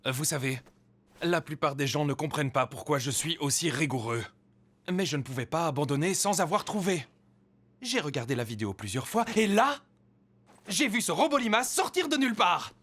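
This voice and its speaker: An actor playing a proud, self-important police officer in a French cartoon dub, with a boastful, emphatic delivery. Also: male voice, a little high, sing-song, normal speed, fairly bright tone. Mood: smug pride.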